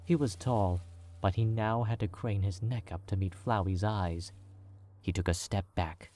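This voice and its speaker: Deep-voiced male narrator. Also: steady tone, a little slow, dark tone. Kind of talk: scripted story narration.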